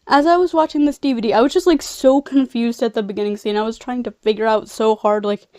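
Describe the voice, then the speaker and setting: A woman's voice: fairly high pitch, steady tone, quick pace, a little mellow, clean tone, no room sound, clean studio sound. A young adult woman with a nasal, congested voice, rambling casually as she recaps a film in a slangy, tangent-prone way. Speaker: video host, film recapper. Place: bedroom.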